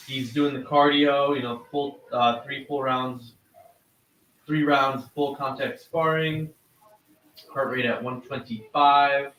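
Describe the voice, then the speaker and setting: Slow man reading check-ins from afar. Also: on the high side, a bit bright. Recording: echoey, distant from the mic.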